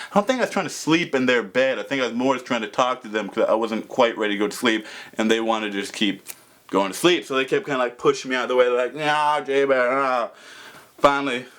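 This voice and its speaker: A young man with a loud voice telling a comedic story on his vlog, swinging between mumbled asides and loud exclaims. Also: fairly high pitch. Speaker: vlogger.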